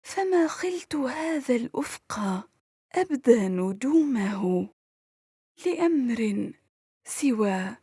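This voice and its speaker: A woman recites a poem. Her voice is very breathy and husky, a little high in pitch, and captured dry with hardly any room around it. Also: sing-song, moderate pace, pauses often, dark tone, no echo. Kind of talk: poetry recitation.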